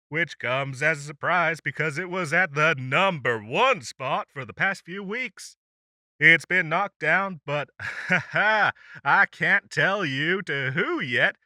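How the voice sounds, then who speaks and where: A man's voice: on the high side, pushed and bright, no room sound, clean studio sound, full character voice. A man playing an upbeat demon radio host, chatting through a chart countdown in showy, teasing announcer patter for an audio roleplay. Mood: playful, teasing.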